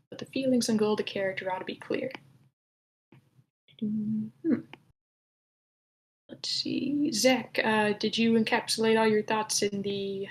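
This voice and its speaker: A woman's voice: mid-range voice, slight background noise, rough recording. Casual woman moderating an online meeting. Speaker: host moderating an online script table read. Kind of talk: casual meeting moderation, reading out chat comments. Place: online live meeting room.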